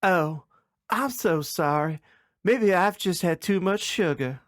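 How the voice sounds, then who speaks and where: Man putting on an eager, high-pitched young woman. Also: lively intonation, slow, a bit bright, heavily acted. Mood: polite, flustered. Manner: gushing, suggestive. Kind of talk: comic story reading.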